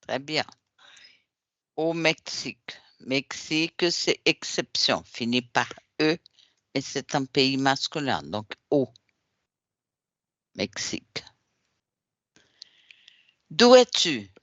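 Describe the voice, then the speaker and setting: A man's voice: mid-range voice, very animated, slow pace, long pauses, pushed and bright, no room sound, highs cut off. A Brazilian man whose first language is Portuguese, teaching a French class and patiently correcting and repeating his students' answers over an online call. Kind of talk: language class drilling questions.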